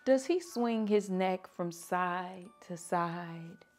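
A woman reading picture books aloud to children like a teacher, with animated, drawn-out emphasis. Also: on the low side, faint music behind. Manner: animated, drawn-out emphasis, asking listeners questions. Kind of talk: expressive children's story read-aloud.

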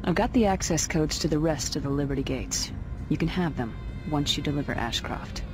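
Young military officer lady, brisk n in charge, game music behind. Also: deep voice, animated. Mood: businesslike. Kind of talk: video game cutscene acting.

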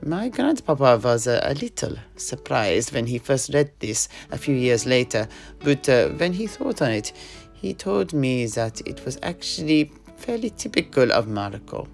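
A man's voice: mid-range voice, measured pace, creaky, faint music behind. A man narrating a family tale in an audio drama, rambling with frequent fillers. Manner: relaxed, rambling storytelling with many fillers. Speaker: storyteller guest.

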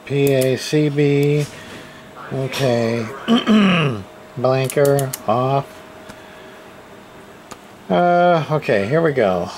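Slow-talking man, halting and noisy recording. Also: mid-range voice, a little mellow. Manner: hesitant, halting, muttering to himself. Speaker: hobbyist radio repair presenter. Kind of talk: casual hands-on demonstration, thinking aloud. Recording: clear background room noise.

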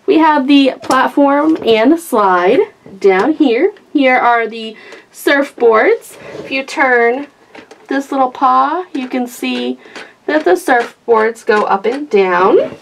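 Lady doing a toy unboxing, kinda high voice, takes her time, super enthusiastic casual chat. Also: a little hiss or hum. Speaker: toy review host.

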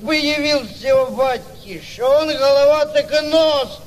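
High-voiced tipsy, boastful Cossack. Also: male voice, measured pace, heavy background noise. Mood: boastful, blustering. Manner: rambling, exclaiming, repeating himself. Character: tipsy old village Cossack carouser, boastful and merry. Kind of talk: theatrical radio play acting.

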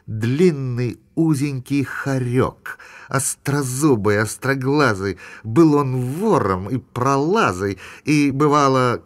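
A man narrating a rhymed fairy tale for children in an expressive, sing-song manner. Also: mid-range voice. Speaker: storyteller.

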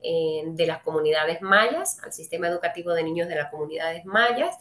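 A woman with a Cuban Spanish accent hosts a live online trading webinar, greeting her viewers warmly and speaking straight to them. Her voice sits fairly low, and she fills her pauses with frequent "eh"s. The sound has a noticeable echo from the room around her computer mic. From Cuba. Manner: friendly, addresses viewers directly, frequent "eh" fillers.

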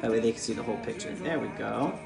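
Man, thirties, American accent, father reading bedtime stories and talking to viewers; gentle, encouraging delivery, roomy home recording. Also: mid-range voice, fast, gravelly. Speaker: father and children's book author reading aloud. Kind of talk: bedtime story reading and casual chat to viewers. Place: living room at home, indoors. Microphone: camera or phone propped just beyond arm's length. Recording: echoey living room, music behind.

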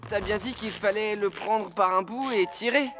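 A man's voice: sits high, a bit bright, soft background music. An actor voicing a clumsy, well-meaning young male firefighter in a cartoon dub. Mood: earnest. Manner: slow-witted, earnest. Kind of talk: cartoon voice acting.

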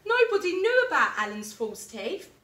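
Expressive young woman reading a picture book. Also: very high voice, moderate pace. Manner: lively, expressive storytelling. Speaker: primary school teacher. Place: classroom reading corner, indoors. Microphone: camera at a distance. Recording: echoey room, mic far off.

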